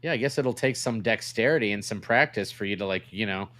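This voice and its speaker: A man's voice: on the low side, fairly quick, fairly bright tone. A man co-hosting a podcast with casual, joking banter. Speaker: podcast co-host.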